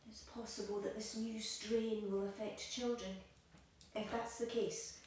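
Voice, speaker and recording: A woman's voice: mid-range voice, level tone, a little slow, darkish tone, lightly acted. A woman playing an anxious, controlling young mother and wife in an audio play, insistent and fussing. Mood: worried. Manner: insistent, nagging, doting on her baby. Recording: very echoey room, distant, faint hiss.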